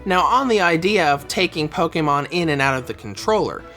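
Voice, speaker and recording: A man's voice: fairly high pitch, animated, fairly quick, bright, forceful tone, soft background music. A man narrating a scripted review of a game controller, a little under the weather, speaking with enthusiasm.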